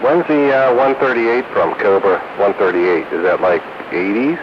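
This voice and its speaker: A man's voice: fairly low pitch, moderate pace. A man chatting casually as an operator over CB radio. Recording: dull, noisy CB radio link.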